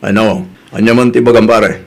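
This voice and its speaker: A man's voice: on the low side, fairly even intonation, normal speed, vocal fry. A man with a Filipino Ilocano accent playing a stubborn, defensive young son in a comedy drama. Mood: wary.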